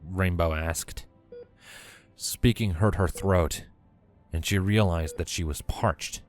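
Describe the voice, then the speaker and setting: A man with a deep voice narrates a story from a script. Faint music runs underneath his reading. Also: takes it slowly.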